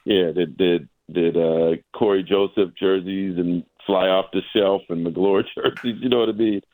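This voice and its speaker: Low-voiced man, halting basketball talk. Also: fairly even intonation, darkish tone, no echo, rough recording. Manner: halting, repeats words, often tags points with "right?". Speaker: sportswriter guest. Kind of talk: casual basketball discussion.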